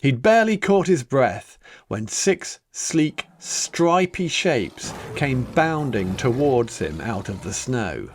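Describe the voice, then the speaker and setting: A man reading a children's picture book aloud as its author, in a dramatic, deliberate storytelling manner with emphatic pauses. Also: medium pitch, very animated, slight background noise. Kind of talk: expressive children's story narration.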